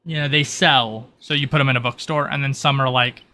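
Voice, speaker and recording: A man's voice: medium pitch, steady tone, a little fast, sharp tone, slight background noise. A man casually explaining the rules and world of a tabletop game as its game master.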